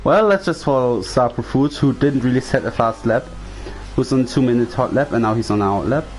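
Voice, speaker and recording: A man's voice: medium pitch, fairly quick, a little hiss or hum. A man whose first language is German, leading a sim racing commentary in English in a chatty way and asking his co-host questions. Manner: chatty, steers the talk with questions. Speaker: lead commentator. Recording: faint music in the background.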